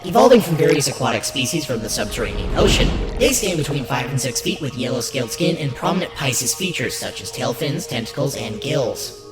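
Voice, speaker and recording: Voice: mid-range voice, very animated, brisk. A narrator presenting a scripted documentary-style piece in an emphatic presenter's delivery. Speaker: narrator and show host. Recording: roomy echo under loud music. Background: loud background music.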